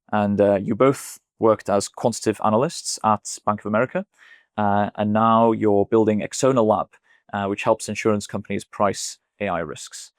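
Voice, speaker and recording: A man's voice: lowish voice, no echo, studio quality. A man hosting an interview, friendly, with many fillers and restarts. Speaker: host. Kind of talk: interview host.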